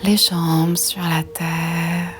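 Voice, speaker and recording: A woman leads a guided yoga relaxation in a soft, breathy half-whisper. Her delivery is very slow and gentle, with hardly any rise or fall in pitch. Faint music plays behind her. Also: flat delivery.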